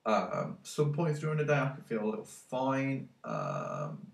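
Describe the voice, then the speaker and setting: A man's voice: mid-range voice, huge pitch swings, unhurried, gravelly, recorded from a distance. A man with a cold talks in a rambling, hesitant way, congested and full of ums. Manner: hesitant, many ums and restarts. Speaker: tech vlogger. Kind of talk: casual rambling monologue. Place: his own room. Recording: echoey room, voice away from mic.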